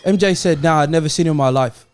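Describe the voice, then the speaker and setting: A man with a slightly high voice trades slangy banter on a sports podcast. He is blunt and teasing, recorded close with a dry sound. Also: quick pace, clear, resonant tone, no echo. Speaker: podcast panellist. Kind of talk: casual slangy football banter.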